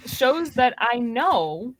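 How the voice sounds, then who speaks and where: A woman's voice: fairly high pitch, big pitch swings, very slow, no echo. A woman in a casual, conversational discussion as part of a podcast panel. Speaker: podcast guest or co-host.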